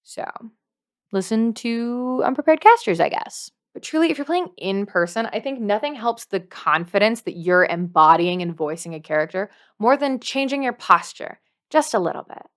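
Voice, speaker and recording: A woman hosts a scripted how-to video in a mid-pitched voice. She talks straight to the viewer as a dungeon master, leaning on key words playfully and slipping in dry asides. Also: animated, moderate pace. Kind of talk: scripted tutorial to camera.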